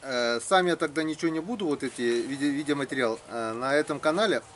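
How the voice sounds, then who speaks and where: A man's voice: on the high side, fast talker. Man chatting casually, faint outdoor noise. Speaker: vlog host visiting a craftsman. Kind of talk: casual chat. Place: outdoors at a village garden plot.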